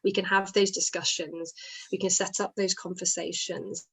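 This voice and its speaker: A woman giving voice to a deaf interviewee, speaking in reflective, measured phrases. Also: on the low side, level tone, a bit bright, husky, poor audio.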